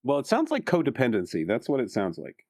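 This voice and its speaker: Medium-pitched man improvising in roleplay. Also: huge pitch swings, fast, a little mellow. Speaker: player.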